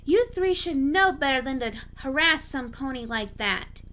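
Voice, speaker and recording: A woman's voice: high voice, expressive, moderate pace, fairly bright tone. A woman voicing a sensible, scolding young female unicorn pony in a story, with firm, proper diction.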